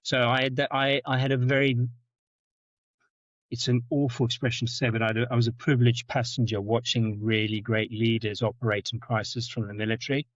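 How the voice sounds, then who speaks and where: A middle-aged man with a Zimbabwean-South African accent, telling stories as a podcast guest, often repeating words and jumping up in pitch for anecdotes. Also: little pitch movement, fairly quick, no room sound. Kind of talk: storytelling in conversation. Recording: dull, dry lo-fi sound.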